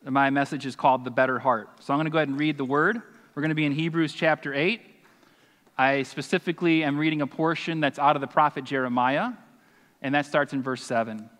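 A man's voice: medium pitch, fairly even intonation, a little fast, a bit bright. A man in his forties preaching and praying on a church stage, earnest and emphatic, with asides to the congregation. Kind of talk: sermon with scripture reading and prayer. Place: church stage, large hall. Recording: long reverb of a large hall.

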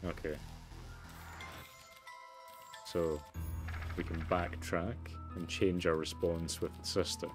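A man doing low-key let's play commentary, reading story text aloud and muttering asides. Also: flat delivery, measured pace. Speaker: gamer, let's player. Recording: music behind the voice. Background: game music.

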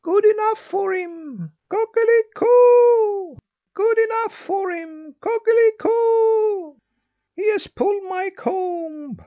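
Scolding barnyard poultry, piercingly high, slow squawked cries, loud and shrill, storybook animal voices. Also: male voice, phone-quality sound, full character voice. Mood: gleeful scorn. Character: flock of indignant screeching hens and a crowing rooster.

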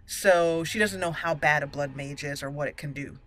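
A woman hosting a roleplay let's play, musing deliberately and slipping into her character's dialogue. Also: low-pitched, a bit bright, a little hiss or hum. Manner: musing, deliberate, thinking aloud. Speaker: gaming channel host roleplaying a character.

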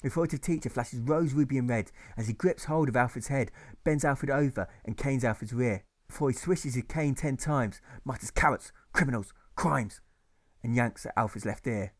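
Male narrator, mid-pitched, reading verse with a steady rhythm. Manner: rhythmic, measured. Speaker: author reading his own novel. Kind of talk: rhyming audiobook narration.

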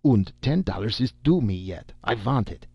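An indignant old German American craftsman played by a male narrator insists on his due with a German accent. The voice is gravelly and stubborn, in a roomy storybook recording. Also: lowish voice, lively intonation, normal speed. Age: older. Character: short plump elderly German American tattooist, angry, demanding payment. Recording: dull, roomy amateur audiobook recording.

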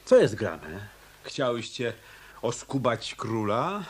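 An actor playing a tough, wisecracking hotel detective in a radio drama, with a wry, sardonic manner. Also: male voice, medium pitch, sing-song, slow, a little hiss or hum. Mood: wry probing.

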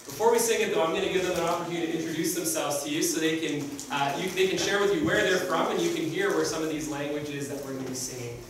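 A man's voice: a little high, fast, few pauses. A man who directs a school choir, introducing its next piece to an audience in an earnest way, with a few fillers. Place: large bright hall with an audience. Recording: echoey hall recorded from far off, with noise.

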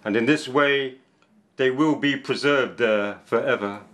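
A middle-aged man, a poet, giving a deliberate, solemn reading of his work in a small cafe. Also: medium pitch, a bit bright. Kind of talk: dramatic poetry reading for two voices. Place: small indoor cafe with bookshelves.

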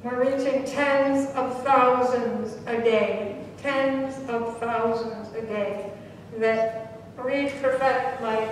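An older woman preaching a Pentecostal sermon, chanting and shouting out calls for more power in bursts between stretches of storytelling. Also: fairly high pitch, slight background noise. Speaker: pastor and prophet. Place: small church sanctuary. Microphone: handheld mic, far camera. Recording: echoey hall recorded from far off.

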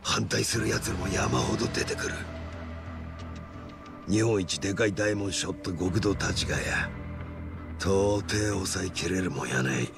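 An actor playing a scheming yakuza officer with a Kansai accent and a gravelly voice, persuading with slow swagger in a game cutscene. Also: male voice, low voice. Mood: measured, calculating. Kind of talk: dramatic video game cutscene acting. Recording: dramatic music under the voice.

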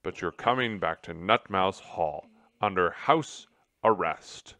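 A man's voice: fairly low pitch, big pitch swings, measured pace, fairly bright tone, no room sound. Man as an earnest, emphatic storybook mouse. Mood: grim. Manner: emphatic, reproachful. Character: earnest, stern male mouse, scolding gentleman of storybook.

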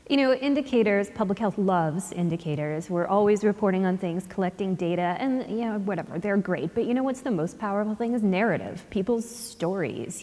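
A woman with a mellow, slightly low voice speaks frankly and with emphasis on a panel. A long hall reverb from the theater follows her words. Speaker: public health panelist. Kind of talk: panel discussion. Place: large theater stage.

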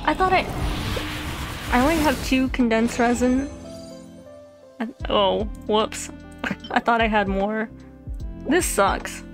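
A woman's voice: fairly high pitch, slow pace, long pauses. A young woman from Texas with a light southern accent, chatting casually and giggling while playing a game. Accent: Texan. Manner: giggly, chatty, frequent laughs. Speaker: VTuber streamer. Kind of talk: casual gameplay chat. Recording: game music behind. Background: lofi background music.

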